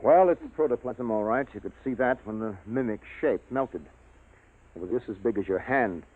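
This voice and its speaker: A man's voice: medium pitch, moderate pace, breathy edge, natural voice. An actor playing an analytical male science officer in a 1950s radio drama, explaining things matter-of-factly. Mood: grave, explanatory. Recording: dry, tinny vintage radio recording.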